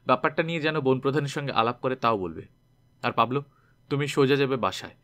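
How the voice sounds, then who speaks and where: A man's voice: mid-range voice, fast, fairly bright tone, natural voice. A man reading the part of a decisive, caring young man who gives firm instructions in a story. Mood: firm, instructing.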